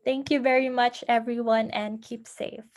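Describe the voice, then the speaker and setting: A woman's voice: fairly high pitch, fairly even intonation, normal speed. A woman encouraging an online audience at the close of her talk, repeating key words for emphasis. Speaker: webinar speaker. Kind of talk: closing advice in a talk.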